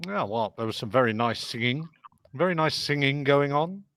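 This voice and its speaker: A man tutoring English on an online call, explaining patiently and slowly. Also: mid-range voice, expressive, a bit bright, no room sound. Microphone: video call.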